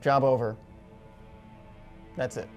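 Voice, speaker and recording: Matter-of-fact woman role-player over soft music. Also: very deep voice, steady tone, slow pace. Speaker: tabletop game player playing the captain. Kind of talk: in-character tabletop role-play. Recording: background music.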